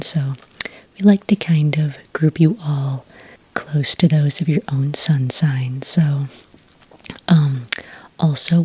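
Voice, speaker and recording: Female ASMR performer as a gentle witch registrar: whispery, airy, very unhurried, soft-spoken and polite. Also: deep voice, no echo, poor audio. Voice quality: breathy, whispery. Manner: gentle, slow, hesitant official patter. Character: polite, patient enrollment witch at a magical academy. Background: computer keyboard typing.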